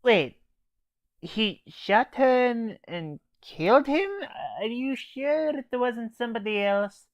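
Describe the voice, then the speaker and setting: A man's voice: extremely high pitch, animated, takes it slowly, muffled recording, voice pushed up, exaggerated voice. A man voicing an inquisitive, impatient special-forces soldier in a comic review, questioning everything bluntly. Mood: disbelieving. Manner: questioning, blunt, calls others comrade.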